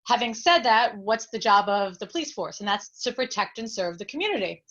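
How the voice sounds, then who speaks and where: A woman's voice: a little high, brisk, a bit bright. A woman speaking unscripted as a political candidate on a discussion panel, hesitant and thinking aloud as she goes. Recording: dull lo-fi sound.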